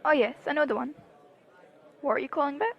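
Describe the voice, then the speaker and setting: Woman playing a wry lively young wife on the phone, really high voice, muffled lofi audio drama. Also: fast talker, creaky. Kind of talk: fan audio drama acting. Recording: dull lo-fi phone-call sound.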